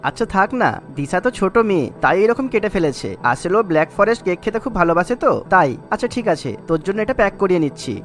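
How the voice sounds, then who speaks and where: An actor playing a doting young husband and elder brother in a cartoon, coaxing and reassuring. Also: male voice, high-pitched, lively intonation, racing, hardly any pauses, fairly bright tone, soft background music. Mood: conciliatory. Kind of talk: animated family story dialogue.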